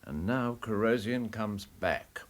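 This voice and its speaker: A man's voice: on the low side, slow pace. An actor playing a world-weary, authoritative senior police commissioner in a radio drama, testy with his subordinate.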